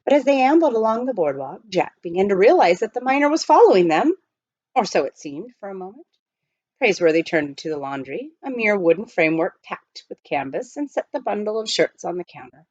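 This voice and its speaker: A woman reading a children's novel aloud in an expressive storybook narration style. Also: mid-range voice, a little fast, no room sound, lo-fi sound.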